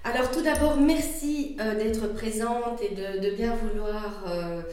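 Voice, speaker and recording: A woman conducting an interview, asking questions in a halting way with many fillers. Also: a little high, darkish tone, heavy reverb.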